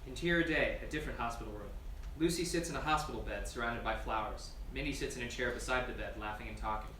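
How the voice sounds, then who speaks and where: Brisk, bright man reading stage directions. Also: mid-range voice. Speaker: reader of scene directions. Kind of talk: scripted screenplay narration at a table read. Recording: distant, echoey room mic, faint noise.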